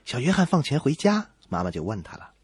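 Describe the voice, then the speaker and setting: A man's voice: medium pitch, huge pitch swings, brisk, heavy background noise. A man co-hosting a comedy radio show, telling scripted jokes with playful, exaggerated reactions.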